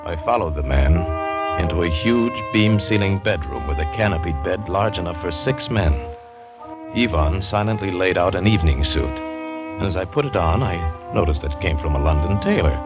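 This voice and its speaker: A low-pitched shipwrecked hunter recounts his ordeal in vivid, dramatic first person. It is old radio drama, with a dull, muffled sound and prominent music behind the voice. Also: expressive, normal speed. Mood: curious. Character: shipwrecked American big-game hunter narrating his ordeal.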